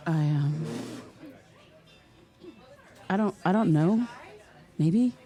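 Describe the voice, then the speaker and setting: A woman's voice: on the low side, expressive, drawn-out words, mellow, faint music behind. A woman playing an earnest, sleepless podcast host in an audio drama, speaking in a hesitant, searching way. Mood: uneasy, determined. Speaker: podcast host interviewing a witness. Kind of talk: naturalistic audio drama dialogue.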